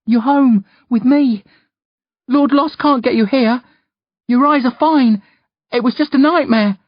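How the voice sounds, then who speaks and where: A man's voice: extremely high pitch, animated, moderate pace, no echo, pitched above the performer's own voice. A male narrator playing a brash, loyal teenage boy with an eager, youthful delivery in an audiobook story. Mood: reassuring. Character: brash, loyal teenage nephew, cheeky and urgent. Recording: dull, close dry audio.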